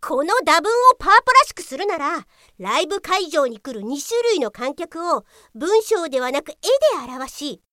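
A woman's voice: high-pitched, sing-song, normal speed, few pauses, pushed and bright, dry recording, studio quality, heavily acted. A woman playing a stern, blunt female police detective who scolds and lectures in a radio drama. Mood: stern, exasperated. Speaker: voice actress. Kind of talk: Japanese radio drama acting.

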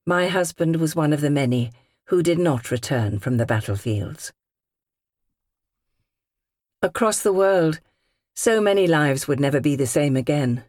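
A woman with a British accent reading literary fiction as an audiobook narrator, measured and carefully phrased. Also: sits low, expressive, a little mellow, no room sound, clean studio sound. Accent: British English. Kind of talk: scripted literary narration in character.

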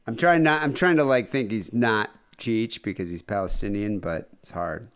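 A man's voice: on the low side, moderate pace, clear, resonant tone. A man co-hosting a podcast in casual, crude, joking chat. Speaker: podcast co-host.

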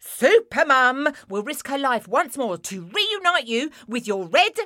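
A man's voice: very high-pitched, big pitch swings, sharp tone, no room sound, pitched above the performer's own voice, heavily acted. A man reading a children's story, voicing a boastful, theatrical superhero mother. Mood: grandiose. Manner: grand, declaiming, drawn-out lines. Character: boastful theatrical superhero mum, grown woman.

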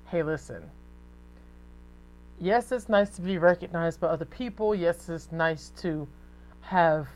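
Deep-voiced woman podcaster talking frankly. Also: muffled recording. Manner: frank and conversational, with profanity and rhetorical asides. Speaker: podcast host. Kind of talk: casual solo podcast monologue.

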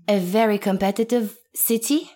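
A woman's voice: medium pitch, fairly even intonation, moderate pace, mellow. Earnest woman from Lebanon being interviewed. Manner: earnest, emphatic, laughs now and then. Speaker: guest actress. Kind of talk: reflective interview talk.

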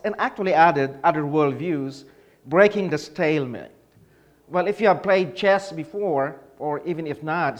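Filipino-born man giving a church talk. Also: on the high side. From Philippines. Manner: explanatory, asks the audience questions. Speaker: conference speaker. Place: conference hall.

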